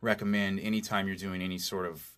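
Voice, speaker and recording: A man's voice: deep voice, little pitch movement, fairly quick, a bit bright, smooth. A man speaking as an interview guest and recording engineer, explaining practical advice in an informal, casual way.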